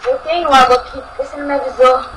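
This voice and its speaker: A woman's voice: high-pitched, fairly even intonation, very fast, noisy background. A woman with a Brazilian Portuguese accent voicing a father in an improvised Minecraft roleplay, with a bossy, playful parental tone.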